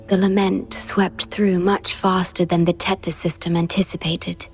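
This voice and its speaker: An actress playing a gentle, solemn guardian with a measured, soft delivery in a video game. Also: female voice, fairly low pitch, flat delivery, soft background music.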